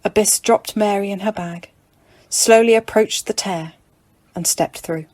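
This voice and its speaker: A woman reading scripted fiction as an audiobook narrator, in a measured, dramatic storytelling manner. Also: mid-range voice, darkish tone, no echo.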